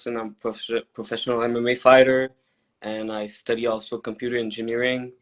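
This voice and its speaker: A young man from Montreal talks as a guest on a casual podcast. His English carries a Quebec French accent, since French is his first language. He speaks in a halting way, with frequent hesitations and restarts, at an ordinary male pitch. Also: level tone. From Montreal, Canada. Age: 20s. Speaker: guest, MMA fighter.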